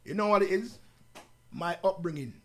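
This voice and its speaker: A man from the UK with a Jamaican accent, the guest on an interview show, telling stories in a relaxed, patois-laced way. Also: high voice, normal speed. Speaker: guest artist. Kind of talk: casual interview chat.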